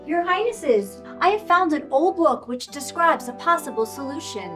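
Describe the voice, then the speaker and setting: Woman playing an earnest, high-voiced royal scribe. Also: animated, normal speed. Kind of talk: children's readers' theater, scripted reading. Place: indoor costumed stage set, camera filming. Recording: music under the voice.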